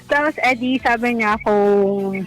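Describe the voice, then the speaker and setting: A woman's voice: a little high, slow pace, soft background music, poor audio. A Filipino woman calling a radio show, telling a personal story in a casual, hesitant, self-deprecating way. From Philippines.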